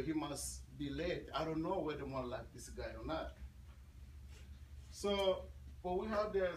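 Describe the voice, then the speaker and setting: A man with a Congolese accent gives an informal talk as a guest speaker, in English he learned later. He is conversational and repeats phrases for emphasis. The recording is roomy, picked up from a distance, with clear noise behind him. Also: medium pitch. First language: not English.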